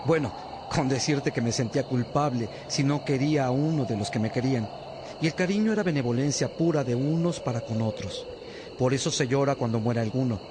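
An actor playing an earnest, attentive disciple of a priest, speaking in a measured way in a religious radio drama. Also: male voice, medium pitch, noisy background, lightly acted.

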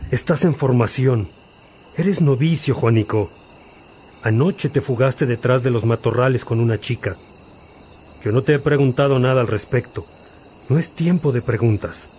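A reflective, fatherly priest, acted by a man dictates his confessions in a radio drama. He is earnest and rhetorical, with a mid-pitched voice over faint cricket ambience. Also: moderate pace, pauses often, a little mellow, a little hiss or hum, close to an everyday voice. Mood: firm, reflective. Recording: insect-like night ambience behind voice.

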